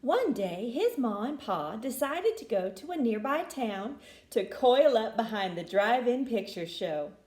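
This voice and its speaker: Animated high-voiced woman reading a storybook. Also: a little slow, echoey room. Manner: expressive, folksy storytelling inflection. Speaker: storyteller reading a picture book aloud.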